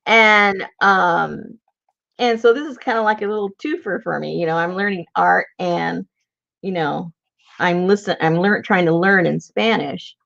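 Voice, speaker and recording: Gravelly woman chatting while painting. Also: fairly low pitch, measured pace, fairly bright tone, lo-fi sound. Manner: chatty, rambling, mutters to herself while working. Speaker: art tutorial host. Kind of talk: casual chat while painting.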